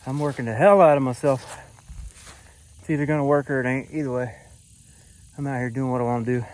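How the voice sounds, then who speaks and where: A man from Florida, vlogging casually while hiking, out of breath and a little hoarse, recorded on a handheld camera outdoors. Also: mid-range voice, steady tone, a little fast, darkish tone. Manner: breathing hard, winded while hiking uphill. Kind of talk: casual vlog narration to camera. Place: outdoors on a forest hiking trail.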